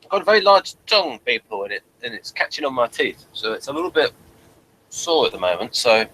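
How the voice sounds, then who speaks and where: Man, forceful bright voice, mid pitch, halting review host, digressive, some background noise. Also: very animated, poor audio. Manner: halting, digressive, self-correcting. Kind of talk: informal review chat, reading out a summary.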